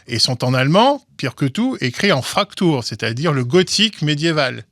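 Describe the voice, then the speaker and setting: Halting, dry-voiced man explaining. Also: fairly high pitch, very animated, a bit bright, no echo. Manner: halting, many restarts and repeated words. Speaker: guest, legal commentator. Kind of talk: explanatory interview talk.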